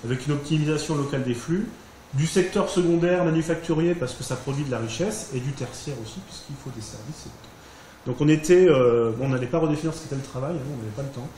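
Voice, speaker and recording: A man's voice: a little high, quick pace, mellow. A French man giving an informal spoken summary of a group's work, hesitating often with fillers and restarts. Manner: thinking aloud, many fillers and restarts. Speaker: workshop participant presenting group results. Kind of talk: informal presentation. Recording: echoey room, faint background hiss.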